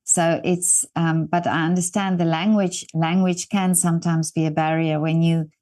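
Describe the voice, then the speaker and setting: A woman's voice: low-pitched, little pitch movement, normal speed. A woman from South Africa, an Afrikaans native speaker with a South African accent, hosting an interview and talking in an encouraging, rambling way. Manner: warm, encouraging, rambling with restarts. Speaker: interview host. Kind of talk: interview conversation.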